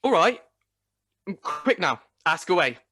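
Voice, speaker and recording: A man's voice: fairly high pitch, very animated, slow pace, pushed and bright, no echo, clean studio sound, exaggerated voice. A man playing a cheerful, forgiving young husband in a radio play, jovial and good-natured. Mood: playful.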